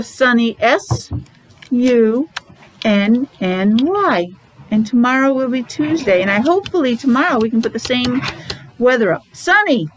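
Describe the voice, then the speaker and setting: A woman's voice: fairly high pitch, low-quality recording. Woman teacher with noticeable background noise. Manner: simple repetitive phrasing with frequent questions, sounding out letters. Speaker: preschool or special education teacher leading morning meeting. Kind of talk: interactive lesson for young children.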